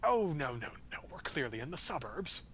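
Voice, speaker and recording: A man voicing a polite, formal male adventurer with a dry, raspy voice. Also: fairly high pitch, big pitch swings, moderate pace, fairly bright tone. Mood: wry. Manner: formal, courteous phrasing. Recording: clicky, noisy home mic.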